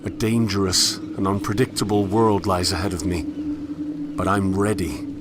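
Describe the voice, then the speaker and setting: An actor playing a melancholy, introspective wanderer who narrates a game in a measured, reflective, literary manner. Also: male voice, sits low, fairly even intonation, very noisy. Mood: tender, resolved. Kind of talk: scripted poetic game narration.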